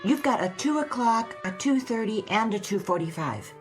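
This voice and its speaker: An actress playing a helpful, literal-minded office secretary in a radio drama, polite and matter-of-fact. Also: female voice, a little high, expressive, normal speed, faint music behind, close to an everyday voice. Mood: helpful, businesslike.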